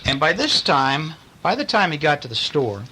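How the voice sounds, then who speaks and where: A man's voice: fairly high pitch, sing-song, moderate pace, sharp tone. A man, a father, reading a bedtime storybook to children with playful asides and acted-out touches. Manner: playful, acts out lines and sound effects. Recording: noisy amateur home recording.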